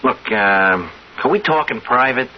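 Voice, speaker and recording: A man's voice: mid-range voice, animated, unhurried, close to an everyday voice. An actor playing an earnest, insistent young pool shark in his twenties, talking urgent street talk in old-time radio drama style. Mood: nervous, insistent. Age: 20s. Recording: dull, hissy old radio recording.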